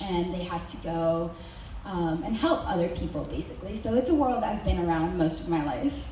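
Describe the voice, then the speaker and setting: A woman's voice: fairly low pitch, warm tone, a little hiss or hum. A young woman from Mexico giving a senior presentation to her school, hesitant with many ums and dry joking asides. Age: young adult. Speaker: student presenter. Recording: very echoey hall recorded from far off.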